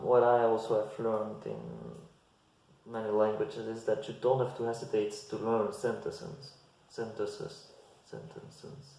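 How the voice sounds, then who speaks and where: Slow, gravelly man in an echoey room. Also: fairly low pitch, dark tone. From Belgium. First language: Dutch. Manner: slow, hesitant, with frequent restarts and fillers. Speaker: language-learning vlogger. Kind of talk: casual advice to camera. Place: bare indoor room. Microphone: fixed camera at desk distance.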